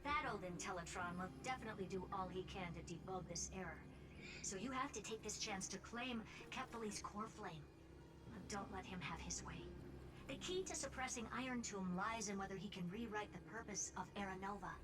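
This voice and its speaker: A woman voicing a cool, commanding female scientist in a video game, speaking in an assured, deliberate way. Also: mid-range voice, lightly acted, rough recording. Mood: urgent, assured. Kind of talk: scripted video game voice acting. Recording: music playing under the game dialogue.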